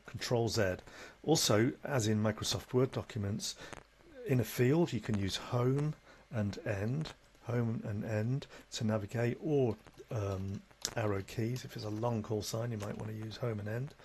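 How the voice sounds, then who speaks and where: A man with a fairly low voice talks through a screen-recorded software tutorial. His delivery is instructional but conversational and unscripted, and there is some faint background noise on the recording. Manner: instructional, conversational, with tag questions.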